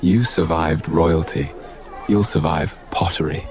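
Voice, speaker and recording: A man playing a wry, unflappable young king in an animated fairy tale, speaking in terse, dry deadpan. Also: a bass voice, unhurried, some chatter behind, a little hiss or hum. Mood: wry, calm. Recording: music behind the voice.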